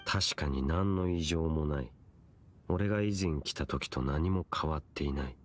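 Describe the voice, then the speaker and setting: An actor playing a stoic, brooding young knight in an anime-style fantasy game, speaking in a solemn, curt and measured way. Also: male voice, rumbling low, faint music behind. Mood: reserved, brooding. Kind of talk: Japanese anime game voice acting.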